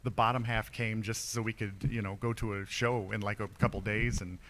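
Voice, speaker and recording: A man's voice: lowish voice, steady tone, a little fast, a bit bright, slight background noise. Chatty man rambling through a story. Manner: rambling, chatty, many fillers and restarts. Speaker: arcade business owner and panelist. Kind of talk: casual panel storytelling. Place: convention panel room.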